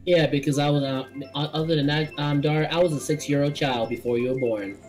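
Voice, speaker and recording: A woman in her thirties with a low voice chats loosely with friends while streaming a racing game. She swears when she gets hit, and quiet game music runs behind her. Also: fairly even intonation, fairly quick. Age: 30s. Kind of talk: casual gaming chat with friends.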